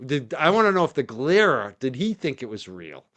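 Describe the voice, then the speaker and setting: A man's voice: mid-range voice, very animated, moderate pace, fairly bright tone. A man hosting a livestream in casual chat, rambling with lots of fillers and laughing easily. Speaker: livestream host.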